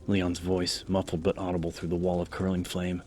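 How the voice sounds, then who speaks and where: Very deep, even-toned male horror narrator. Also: moderate pace, slight background noise. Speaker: audiobook narrator. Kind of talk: dramatic scripted horror narration. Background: background music.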